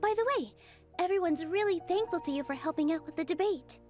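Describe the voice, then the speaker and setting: A woman voicing a gentle, encouraging young dancer in a video game, speaking sweetly and sincerely. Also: very high voice, fast talker. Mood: warm, grateful. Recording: music faintly behind.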